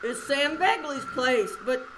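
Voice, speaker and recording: Actress as an earnest folksy bus driver lad, high, bright, apologetic, old-time radio comedy. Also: female voice, expressive, measured pace, low-quality recording. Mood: earnest, helpful. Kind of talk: 1940s radio comedy acting. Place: small theater stage. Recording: live stage performance with background noise.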